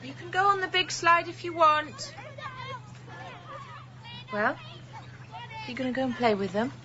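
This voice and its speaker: An actress playing a brisk, icy estranged wife and mother in a radio comedy drama. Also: high voice, expressive, pushed and bright, highs cut off, some chatter behind, slight background noise, heavily acted. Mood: brisk, impatient.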